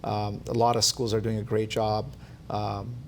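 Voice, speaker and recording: A man born in Iran, a company founder answering interview questions in a measured way with many fillers. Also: on the low side, completely flat delivery, gravelly, slight background noise. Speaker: tech company CEO, guest.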